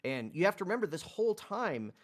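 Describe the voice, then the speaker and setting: A man playing a tabletop roleplaying game, explaining his character in a halting, earnest way and often repeating words. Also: high voice, very animated. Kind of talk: casual in-game roleplay discussion.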